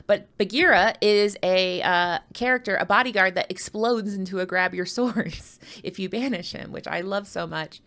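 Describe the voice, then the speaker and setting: A woman's voice: mid-range voice, moderate pace, few pauses, fairly bright tone. Playful, chatty American woman commentating live. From United States. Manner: chatty, playful, rambling with asides. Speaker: livestream card tournament commentator. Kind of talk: casual live commentary and banter with chat.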